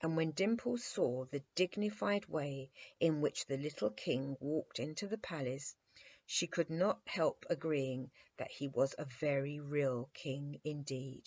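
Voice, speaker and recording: A woman's voice: deep voice, measured pace, darkish tone, no room sound, highs cut off. A woman storyteller narrating a children's bedtime story expressively.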